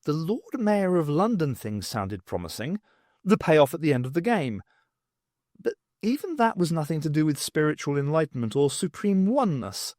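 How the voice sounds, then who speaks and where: A man reading a story aloud as an audiobook narrator, in an expressive scripted style. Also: on the high side, normal speed, no room sound, clean studio sound.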